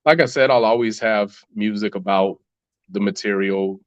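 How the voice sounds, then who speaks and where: A man's voice: fairly low pitch, normal speed, dry recording, highs cut off. A man, a musician guest on a podcast, answering reflectively with many fillers and restarts.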